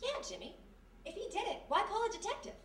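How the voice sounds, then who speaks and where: A woman playing a quick-thinking, wisecracking girl Friday with slangy talk in a live old-style radio mystery. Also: very high-pitched, very animated, fairly bright tone, far from the mic, slight background noise, heavily acted. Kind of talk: 1930s-style radio comedy-mystery acting. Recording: very echoey live stage, off-mic.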